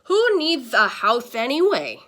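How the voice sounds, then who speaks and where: Woman as old grandpa, dramatic, storybook character, swooping animated pitch. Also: a little slow, heavily acted. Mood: moaning.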